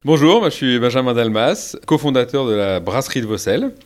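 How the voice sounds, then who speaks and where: A man's voice: medium pitch, very animated, brisk. A man from Paris, a brewery co-founder, answering interview questions casually with many fillers and restarts.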